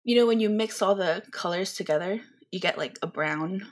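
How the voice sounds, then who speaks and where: A woman from the Philippines, a podcast guest, talking in a chatty, animated way with lots of fillers. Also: medium pitch, moderate pace. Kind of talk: casual conversational interview.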